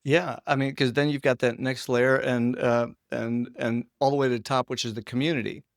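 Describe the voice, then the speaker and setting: A male interviewer with a medium-pitched, gravelly voice chats casually. He feels his way into each question in a conversational manner. The recording is close and dry. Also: flat delivery, moderate pace, dry recording. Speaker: interviewer, host.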